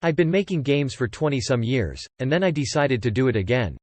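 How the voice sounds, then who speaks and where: Man reading English examples, lively pitch. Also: brisk, dry recording. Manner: clear, careful model reading. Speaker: English teacher. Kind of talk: reading word definitions and example sentences.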